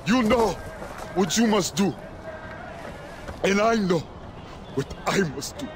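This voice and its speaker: A zealous game preacher. His voice rides high and swings dramatically, with slow, weighty phrasing, as he declaims like a sermon. Clear outdoor noise sits behind him. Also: lively intonation. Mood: anguished resolve. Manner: fervent, grandiose, quoting scripture like a sermon. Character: zealous preacher, former arms dealer haunted by sin. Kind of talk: theatrical video game cutscene preaching.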